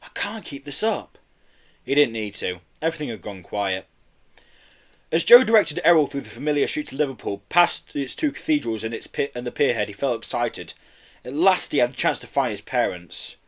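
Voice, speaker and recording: A man narrating a children's book as an audiobook reader, in an expressive storytelling style. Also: medium pitch, brisk.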